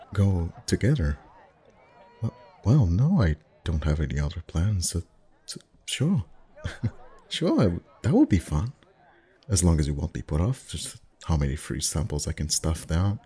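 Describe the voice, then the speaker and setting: A man acting as a friendly festival face painter with an extremely low, mellow voice. He chats intimately in ASMR roleplay style, easygoing and gently teasing, with a flirty streak. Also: husky, heavily acted. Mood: flustered, flirty. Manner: chatty, gently teasing, soft chuckles. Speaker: voice actor in an audio roleplay. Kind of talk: ASMR roleplay, one-sided intimate conversation.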